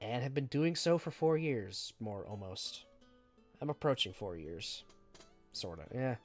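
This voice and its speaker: A man's voice: medium pitch, a little slow, soft background music. A man streaming games, chatting casually in a rambling, self-deprecating way, with a stuffy nose and a lingering cough. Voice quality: self-described monotone voice. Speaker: game streamer.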